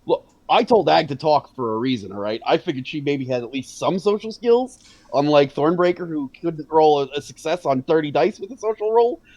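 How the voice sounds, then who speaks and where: A man's voice: fairly high pitch, expressive, a little fast, a little mellow, no room sound. A man chatting as a player in a tabletop role-playing game, hesitant and full of restarts. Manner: hesitant, restarts, apologetic about rules. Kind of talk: casual tabletop game chat.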